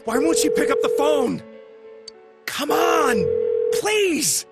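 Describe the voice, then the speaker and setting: An American man voicing a troubled middle-aged game character who confesses with halting, anguished earnestness, full of guilt and grief. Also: very high-pitched, big pitch swings, gravelly, loud music behind the voice, heavily acted. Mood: frantic, pleading. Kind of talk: dramatic video game monologue.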